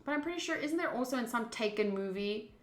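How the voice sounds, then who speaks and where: A woman's voice: on the high side, lively intonation, fast talker, echoey room, a little hiss or hum. A woman streamer doing a game playthrough, reading text aloud and adding casual commentary.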